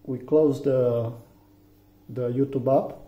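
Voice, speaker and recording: A man from Romania with a Romanian accent, English not his first language, giving a halting phone tutorial with many fillers. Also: mid-range voice, steady tone. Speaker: tech tutorial maker. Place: room indoors, phone on a table. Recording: echoey, dull lo-fi room.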